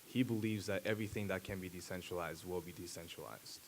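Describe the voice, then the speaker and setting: A man in his thirties, a native Japanese speaker, giving a conference talk at a podium with a handheld mic, pausing often with fillers and restarts. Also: sits low, a little hiss or hum. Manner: halting, many fillers and restarts. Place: large dark auditorium stage.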